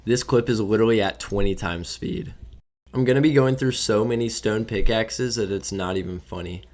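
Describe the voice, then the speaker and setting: A young man with a slightly raspy voice from a cold, casually narrating his gameplay and sometimes tripping over his words. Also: on the low side, normal speed, a little mellow. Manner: casual, offhand, trips over words now and then. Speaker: gaming video creator.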